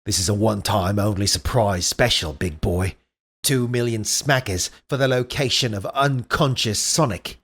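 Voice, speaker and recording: A man's voice: lowish voice, moderate pace, fairly bright tone, husky, voice pushed down. A man voicing a brash, mocking weasel mercenary with an unconvincing Australian accent that slips into Cockney, as in a comic-book read-aloud. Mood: slick. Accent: Australian, unconvincing, slipping into Cockney.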